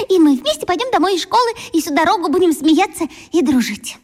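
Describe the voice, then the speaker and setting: A woman's voice: extremely high pitch, sing-song, a little fast. An actress voicing a dreamy little schoolgirl in a children's radio play, speaking in a wistful, earnest way. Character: shy, dreamy little schoolgirl longing for a friend.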